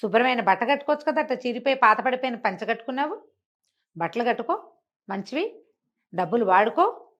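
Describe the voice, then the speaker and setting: A woman's voice: fairly high pitch, big pitch swings, a little fast, pauses often, fairly bright tone, highs cut off. A woman storyteller voicing an older male pilgrim who nags and advises in a familiar, well-meaning way. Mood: chiding advice.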